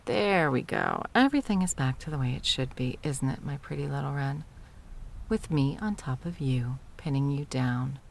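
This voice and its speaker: Low, gravelly, mocking sorceress tyrant, played by a woman. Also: a little mellow, slight background noise, heavily acted. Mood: smug, soothing. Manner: mocking, purring, theatrical, slipping into breathy whispers. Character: arrogant centuries-old immortal sorceress tyrant, sadistic and teasing. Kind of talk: ASMR roleplay acting.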